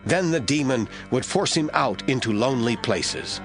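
A man narrating a Bible audio drama as scripted, expressive storytelling. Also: medium pitch, normal speed, over music.

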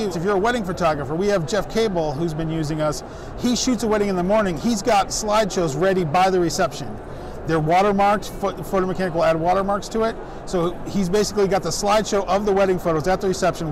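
Guy at a trade show booth explaining software, kinda high voice, lots of ums, loud floor noise behind. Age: middle-aged. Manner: brisk explaining, many "um" fillers. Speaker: software company representative. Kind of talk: trade show product pitch. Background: crowd chatter of the show floor.

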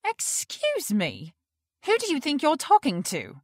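A woman's voice: sits high, huge pitch swings, unhurried, no echo, clean studio sound, heavily acted. A woman acting a cool, haughty animal expert with assertive, clipped delivery in an audio drama. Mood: indignant.

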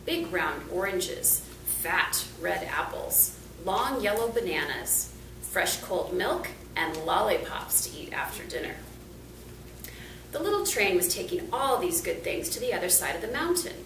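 A woman's voice: fairly low pitch, sing-song, unhurried. A middle-aged woman reading a children's picture book aloud as a storyteller, indoors and a little way from the camera. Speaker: volunteer storybook reader. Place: small indoor room, seated. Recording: roomy, distant camera mic, faint noise.

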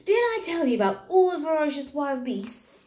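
Scolding mum, played by a female storyteller: fierce, loud, exasperated, highly animated. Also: moderate pace, echoey room. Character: ferocious scolding mum of a small boy. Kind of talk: children's storybook read-aloud.

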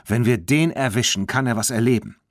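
A man's voice: mid-range voice, a little fast, dry recording, studio quality, voice pushed up, lightly acted. A man voicing a plucky young girl in an audiobook, sceptical and forthright. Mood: determined.

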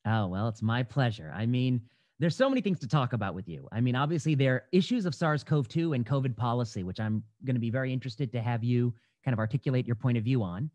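A man's voice: mid-range voice, animated, quick pace, a little mellow, dry recording. A young man hosting a podcast interview over a video call from home, talking in a thoughtful way with many fillers and restarts. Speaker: podcast host. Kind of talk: conversational interview. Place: home room. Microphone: headset mic on a video call. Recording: dull, dry video call audio.

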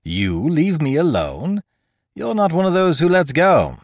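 Man playing a theatrical, teasing gentleman thief, wildly swooping. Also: fairly high pitch, big pitch swings, measured pace, dry recording, studio quality, voice pushed up, exaggerated voice. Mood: boastful, indulgent. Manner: mocking, theatrical, peppered with rhetorical questions. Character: gentleman thief, teasing, exuberant, boastful, playfully condescending to youngster. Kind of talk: dramatised audiobook character dialogue.

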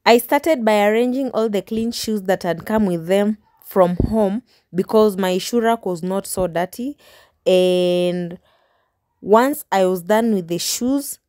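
A woman's voice: medium pitch, slow pace, darkish tone. Chatty woman vlogging at home. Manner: chatty, talks viewers through her tidying with small restarts. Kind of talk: casual home vlog narration. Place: bedroom at home.

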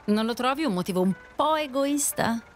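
A woman's voice: fairly high pitch, expressive, moderate pace, soft background music, lightly acted. Woman acting blunt, cynical female passenger. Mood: wry, skeptical. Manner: direct, questioning. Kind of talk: Italian audio drama dialogue.

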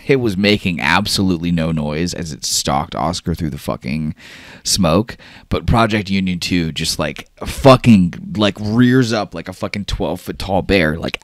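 A man's voice: low-pitched, fairly bright tone, no room sound, studio quality. A man improvising horror narration as a tabletop game master, casual, emphatic and swearing freely. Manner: casual, profanity-laden, emphatic.

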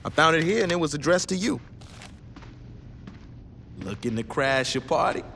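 A man acting as an excitable young gang lieutenant in a video game, slangy, streetwise and griping. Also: fairly high pitch, moderate pace, big-room sound, slight background noise. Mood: wary.

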